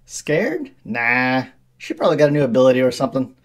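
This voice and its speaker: A woman voicing a gruff, brash, burly male shopkeeper in a story reading, with a rough husky voice and blustery manner. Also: a bass voice, big pitch swings, brisk, pitched below the performer's own voice. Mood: dismissive, breezy.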